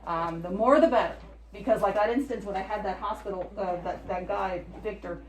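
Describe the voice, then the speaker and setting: A woman giving an informal presentation in a loose, halting way with many fillers. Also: mid-range voice, roomy sound, poor audio.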